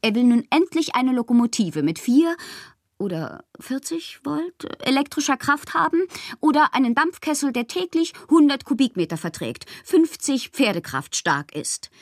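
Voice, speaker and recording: Whimsical lonely woman poet, radio play, breathy husky voice, intimate, slipping into whispers, dry studio sound. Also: high voice, big pitch swings, moderate pace, dry recording, full character voice. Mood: whimsical, anxious. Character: lonely, whimsical bohemian woman writer, sharing letters. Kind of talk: literary radio monologue.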